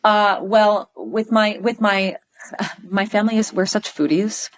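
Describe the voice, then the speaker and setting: A middle-aged woman from Pennsylvania, a stage performer chatting as a podcast guest, gushing and animated, with lots of restarts. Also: mid-range voice, unhurried. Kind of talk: casual interview chat.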